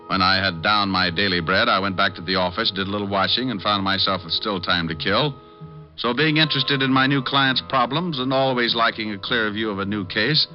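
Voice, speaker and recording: An actor playing a breezy, cocky private detective who teases and narrates wryly in an old-time radio drama. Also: male voice, fairly low pitch, close to an everyday voice. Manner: teasing, flippant, wry. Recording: muffled vintage radio recording.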